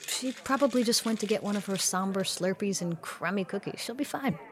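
A woman's voice: mid-range voice, a little fast, lightly acted. A woman playing breezy, teasing bartender. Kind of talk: naturalistic audio drama acting.

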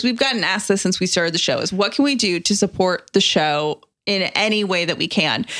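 A woman's voice: lowish voice, fairly bright tone. A woman co-hosting a podcast, chatting in an informal, conversational and self-deprecating way. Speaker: podcast co-host. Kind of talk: casual conversational podcast chat.

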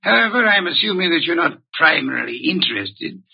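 Old muffled radio show, grumpy pompous genius detective man, bit husky, very formal and bossy. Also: on the high side, big pitch swings, brisk, exaggerated voice. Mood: stern, commanding. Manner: pompous, precise, formal diction, curt. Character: imperious, grumpy, erudite male private detective genius. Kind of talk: old-time radio drama acting. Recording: muffled vintage radio drama recording.

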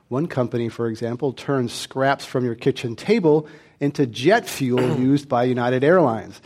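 A man hosts a panel discussion on stage before a live audience. He reads his introductions in a clear, measured way. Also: on the high side, a little mellow. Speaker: host.

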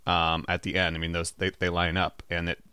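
A man chats as a podcast co-host in a loose, informal style with plenty of "like". His voice is slightly low. The recording is dry and free of room sound. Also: completely flat delivery, brisk, sharp tone, clean tone, dry recording. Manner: informal, peppered with "like". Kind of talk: casual chat about a TV show.

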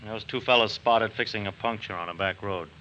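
An actor playing a brisk American federal agent, clipped and businesslike, in 1940s radio drama style. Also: male voice, lowish voice, sharp tone. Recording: muffled, noisy 1940s radio recording.

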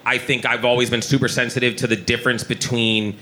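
A man's voice: mid-range voice, little pitch movement, brisk, bright, forceful tone, slight background noise. A man from Israel, a chef and restaurant owner, speaking on a live panel in a restaurant with many restarts and fillers.

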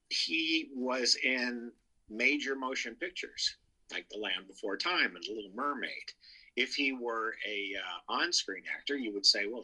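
A man with a very bright, forceful voice and a husky grain speaks as a show contributor. He reminisces conversationally, telling anecdotes with firm emphasis. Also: on the low side, low-quality recording. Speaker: show contributor, entertainment writer.

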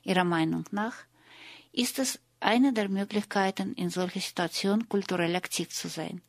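A woman reading scripted narration for a radio feature in a measured, even way. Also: medium pitch, no room sound, studio quality.

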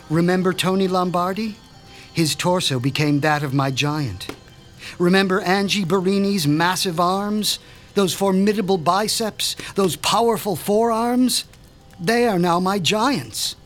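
A man playing an obsessive mob doctor and mad scientist, speaking in a measured, theatrical way. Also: sits high, fairly bright tone, faint music behind. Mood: grandiose. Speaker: doctor character. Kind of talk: audio drama acting.

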